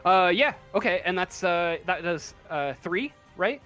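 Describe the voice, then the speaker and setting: Guy game mastering, kinda high voice, slow n halting, music behind him. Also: expressive, fairly bright tone. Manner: halting, hesitant, casual delivery. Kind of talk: improvised narration of a tabletop game.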